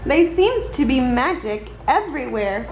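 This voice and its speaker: A woman reading a children's picture book aloud in an expressive, deliberate storytelling manner. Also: high voice. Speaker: storybook reader. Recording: roomy echo, faint background noise.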